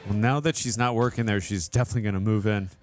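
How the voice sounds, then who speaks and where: A man with a fairly low voice hosts a reaction show, commenting casually on what he watches. Music plays clearly behind him. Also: steady tone, fast talker, darkish tone.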